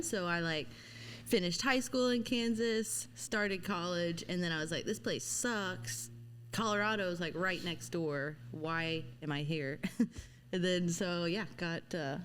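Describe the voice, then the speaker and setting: A woman's voice: lowish voice, fairly bright tone, slight background noise. A woman from Louisiana with a mild Southern accent, chatting casually as a podcast guest and laughing often. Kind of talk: casual chat.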